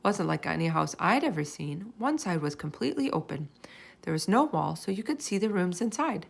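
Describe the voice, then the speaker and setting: A woman reading a children's chapter book aloud in expressive narration. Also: fairly low pitch, moderate pace, vocal fry. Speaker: storybook reader.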